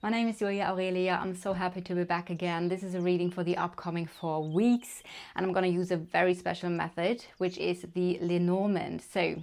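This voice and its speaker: A woman's voice: fairly low pitch, flat delivery, a little fast, a little mellow. A woman with a German accent, reading tarot cards to camera in a chatty way, thinking aloud with many fillers. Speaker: tarot reader. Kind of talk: casual card reading to camera.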